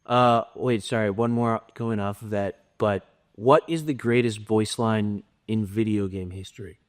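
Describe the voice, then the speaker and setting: A man's voice: lowish voice, fairly even intonation, unhurried. A man hosting a casual interview, asking questions in informal, slightly hesitant phrasing. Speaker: interviewer, host.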